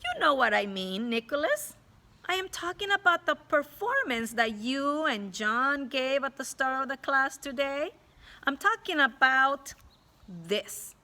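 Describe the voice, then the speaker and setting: A woman's voice: sits high, sing-song, a little slow, bright, forceful tone, pitched above the performer's own voice, full character voice. A woman voicing a stern woman schoolteacher, firm and scolding, coolly in charge, in a storybook read-aloud.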